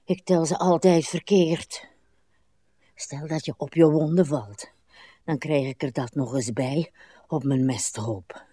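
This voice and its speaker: An actress playing a weary, anxious old wife, plaintive and halting, in a radio play. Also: female voice, low-pitched, mellow, slightly rough, no echo, clean studio sound, exaggerated voice. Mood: pleading. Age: older.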